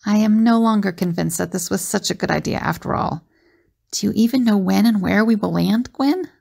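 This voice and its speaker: A woman playing a sceptical, observant time-travelling companion in an audio drama, articulate and questioning, with a creaky, husky voice. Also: mid-range voice, fairly quick, darkish tone. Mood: doubtful.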